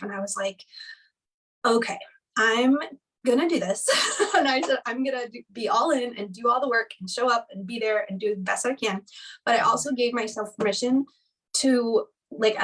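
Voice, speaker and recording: A woman's voice: on the high side, a bit bright, distant mic, poor audio. A woman in her thirties, a guest chatting casually in an interview, rambling with lots of "like" and light laughs.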